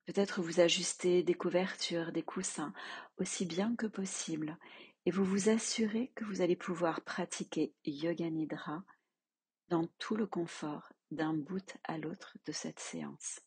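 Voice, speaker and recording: A woman teaching yoga nidra, leading a guided relaxation in a soft, calm, measured way with long pauses. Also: fairly low pitch, breathy edge.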